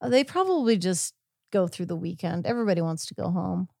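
A woman's voice: fairly low pitch, animated, brisk, gravelly, darkish tone, no echo, studio quality. A woman co-hosting a true crime podcast in casual, conversational style. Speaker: podcast co-host.

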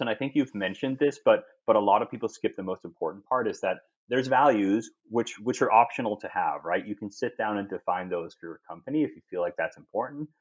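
A man's voice: lowish voice, a little mellow, lo-fi sound. A man from Europe, a podcast guest speaking thoughtfully, often restarting and repeating words as he thinks. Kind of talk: podcast conversation.

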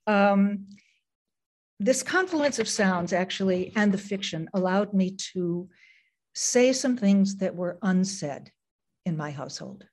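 A woman's voice: medium pitch, a little mellow. Woman author, native Greek speaker, reflective, on a video call, with lots of ums. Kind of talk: reading aloud, then talking about her writing.

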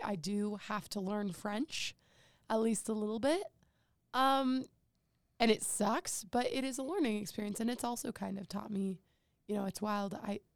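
A young college woman talks through a casual podcast chat, her voice full of gravelly vocal fry. She pokes fun at herself and leans on filler words. The sound is dry and close to the mic. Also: medium pitch, dry recording. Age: young adult. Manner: chatty, self-deprecating, many fillers. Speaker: podcast co-host. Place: home room.

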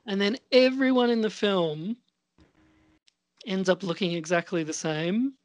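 Slow-talking woman in her forties, dry sound. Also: mid-range voice, a little mellow, no echo. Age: 40s. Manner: hesitant, rambling. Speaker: podcast co-host. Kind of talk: casual chat.

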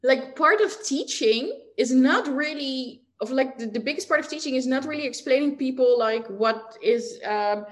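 A woman's voice: high voice, moderate pace, hardly any pauses. A woman in her forties, a writing teacher talking casually as a guest, chatty with many fillers and light laughs. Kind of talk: casual interview chat.

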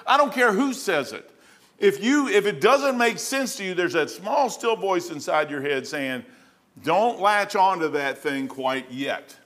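A man's voice: high voice, fairly bright tone. A man, preaching a rambling, conversational sermon and often repeating himself. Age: 60s. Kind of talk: folksy sermon.

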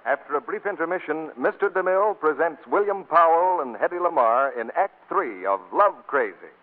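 A man's voice: on the high side, lively intonation, normal speed, a little hiss or hum. A man working as a 1940s radio announcer, reading a scripted sponsor commercial on a muffled vintage broadcast.

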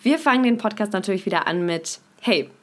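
A woman's voice: mid-range voice, lively intonation, a little fast. A young woman hosting a podcast, explaining things to her listeners and speaking to them directly. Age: young adult. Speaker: podcast host. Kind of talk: scripted podcast intro and explainer.

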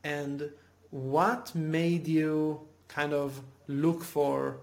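A man's voice: fairly high pitch, steady tone, very slow, creaky. A man hosting an interview podcast, asking eager, leading questions and often restarting his sentences. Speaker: podcast host.

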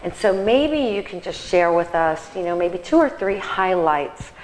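A woman's voice: on the low side, animated, measured pace, darkish tone, a little hiss or hum. A woman hosting a casual interview show, chatty, with many fillers and restarts. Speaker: host. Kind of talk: casual studio interview chat. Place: catering kitchen set. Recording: roomy hall with long echo.

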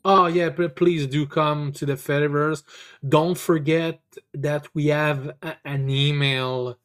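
A man's voice: on the high side, steady tone, takes it slowly, fairly bright tone. A man hosting a casual video game podcast, stammering and repeating words often, swearing freely. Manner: casually foul-mouthed. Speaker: podcast host. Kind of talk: casual chat about video games.

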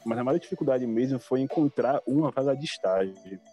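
A man's voice: mid-range voice, lively intonation, a little fast, mellow, soft background music. A man, an intern chatting casually in an interview. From Salvador, Bahia, Brazil. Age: 20s. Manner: chatty, addresses listeners as "cara".

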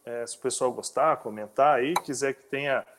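A man hosting a casual interview, talking in a halting way with frequent restarts. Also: medium pitch, background noise. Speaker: interview host.

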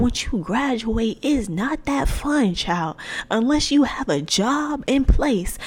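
A woman in her twenties hosting her own faith podcast, talking in a chatty, animated way full of slang. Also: medium pitch. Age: 20s. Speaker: podcast host. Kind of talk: casual faith podcast talk.

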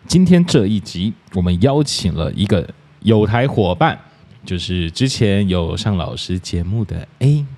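A man's voice: low-pitched, big pitch swings, a little slow, darkish tone. A man hosting a podcast, talking casually in the role of a teacher to his students. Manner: plays a teacher addressing listeners as students. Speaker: podcast host. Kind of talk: casual interview chat with scripted sponsor reads.

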